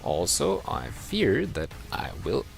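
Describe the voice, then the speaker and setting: A man giving casual, halting commentary over gameplay, repeating himself. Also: medium pitch, wildly swooping pitch, creaky, music in the background.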